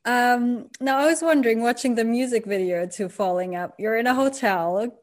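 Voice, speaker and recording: A woman's voice: a little high, normal speed. A woman hosting an interview, asking questions in a friendly manner and laughing easily. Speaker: radio interviewer.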